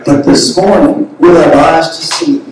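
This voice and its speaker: A man leads a prayer in slow, deliberate declarations. His voice is somewhat high. He is distant from the microphone in a very echoey room. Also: a little hiss or hum. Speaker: prayer leader. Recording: echoey room, recorded from far off.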